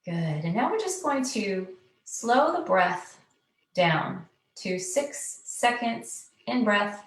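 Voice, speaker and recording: A woman leading a live guided meditation, giving soothing, unhurried, gently coaxing instructions. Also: medium pitch, big pitch swings. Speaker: guided meditation teacher, host. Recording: roomy echo, distant mic, loud music behind. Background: loud meditation music.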